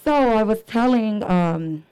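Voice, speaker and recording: A young woman with a hoarse, raspy voice, hosting a live panel at a fitness event in an upbeat, chatty way with lots of fillers. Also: a little high, animated, slow pace, mellow, dry recording. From Chicago area. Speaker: panel moderator and fitness trainer.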